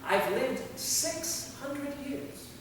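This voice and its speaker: A man's voice: very high voice, animated, extremely slow, a bit bright, exaggerated voice. A man playing a weary, fearful, bookish six-hundred-year-old immortal in a staged play reading. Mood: regretful. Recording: very echoey hall, far mic, faint noise.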